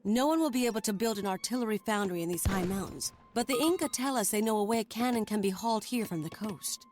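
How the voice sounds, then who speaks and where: A woman's voice: medium pitch, fast, darkish tone, faint music behind, natural voice. An actress playing a determined, vengeful woman adventurer leading an expedition in a video game, terse and resolute. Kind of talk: cinematic video game voice acting, part narration.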